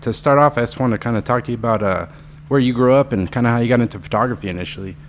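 A man's voice: mid-range voice, racing, a little hiss or hum. A man hosting a podcast, asking questions in a casual, chatty interview style. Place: guest's studio.

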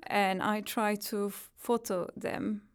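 Turkish-accented woman with gravelly voice. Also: medium pitch, steady tone. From Turkey. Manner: thoughtful, halting, laughs between phrases. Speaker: artist guest. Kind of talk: interview conversation.

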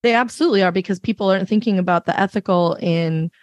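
A woman with a fairly low voice, speaking emphatically and passionately as a panelist in a casual discussion. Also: quick pace, no echo, lo-fi sound. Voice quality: reasonably low voice. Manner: emphatic, passionate, many fillers. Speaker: podcast panelist.

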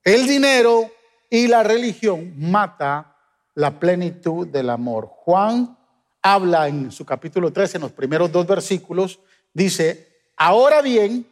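Guatemalan male pastor, high-pitched, emphatic sermon delivery, reverberant church hall. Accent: Guatemalan Spanish. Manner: emphatic preaching, swings between loud peaks and soft drops.

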